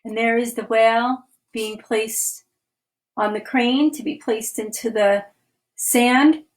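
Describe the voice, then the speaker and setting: A woman, a children's author, reading her picture book aloud in a livestream with clear, expressive delivery and chatty asides. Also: on the high side, takes it slowly, rough recording. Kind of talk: children's storytime read-aloud. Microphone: webcam or phone for a livestream.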